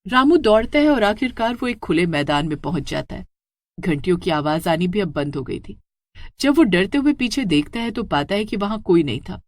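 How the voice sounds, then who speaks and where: A woman's voice: medium pitch, lively intonation, normal speed, no echo, clean studio sound. A woman narrates a scripted horror story in a dramatic, suspenseful way.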